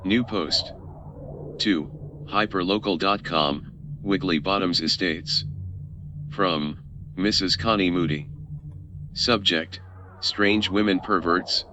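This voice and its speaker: A man's voice: deep voice, slow pace, pauses often, muffled recording, background noise. A man doing scripted narration, announcing items in a clipped, formal way.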